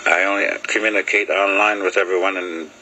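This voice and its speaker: A man whose first language is Lakota, talking casually to a video camera in halting English, often pausing with fillers as he searches for words. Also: deep voice, steady tone, bright, forceful tone, a little hiss or hum, low-quality recording. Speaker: vlogger. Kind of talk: casual rambling talk to camera. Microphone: video camera mic.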